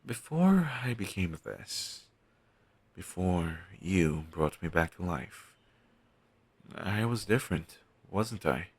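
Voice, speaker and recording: A man playing a pessimistic zombie best friend with dark sarcasm, speaking haltingly in an intimate audio roleplay. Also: sits low, sing-song, slightly rough, dry recording, full character voice. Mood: troubled, confessional. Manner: halting, sighing, sardonic delivery with trailing hesitations.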